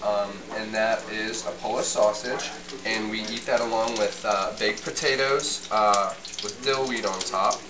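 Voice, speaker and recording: A man's voice: fairly low pitch, fairly even intonation, unhurried, hardly any pauses, natural voice. A man playing a young Polish man who talks hesitantly with many ums while he cooks in a home kitchen, recorded from a distance. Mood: relaxed. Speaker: interviewee cooking on camera. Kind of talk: amateur comedy sketch interview. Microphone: camera mic from a distance. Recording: roomy, distant, hissy home video.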